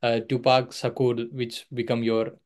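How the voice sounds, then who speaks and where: A man's voice: mid-range voice, little pitch movement, measured pace. A young man hosting an interview over a video call, asking long questions and repeating words like "basically" and "also". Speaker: podcast host.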